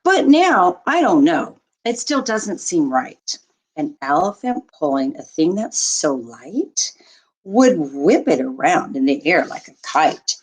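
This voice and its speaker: A woman reading a rhyming children's picture book aloud like a teacher, expressively and deliberately, as a storyteller would to young listeners. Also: on the low side, big pitch swings, slightly rough, rough recording.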